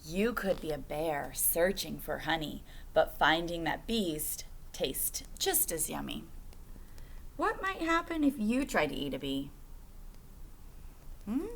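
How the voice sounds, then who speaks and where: A woman's voice: lowish voice, gravelly, a bit bright, a little hiss or hum. A woman reading a picture book aloud to children like a teacher, phrasing expressively and putting questions to her young listeners.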